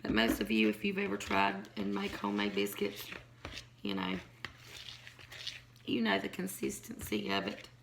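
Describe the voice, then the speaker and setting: A hoarse woman, chatting casually as she shows her home cooking in a kitchen. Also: rumbling low, big pitch swings, normal speed, long pauses. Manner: chatty, rambling, folksy. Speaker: home cook. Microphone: phone or camera on counter. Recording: noisy home recording.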